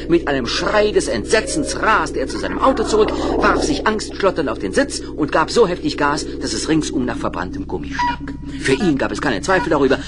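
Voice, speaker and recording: A man narrating a children's radio play in a vivid, dramatic storytelling manner. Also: medium pitch.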